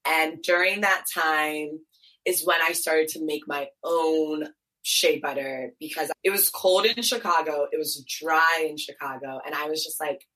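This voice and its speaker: Bright-voiced young woman, animated storyteller. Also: low-pitched, low-quality recording. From United States, Columbus, Ohio. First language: Twi. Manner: lively, animated storytelling with laughs. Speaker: guest, beauty brand founder. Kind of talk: casual interview chat.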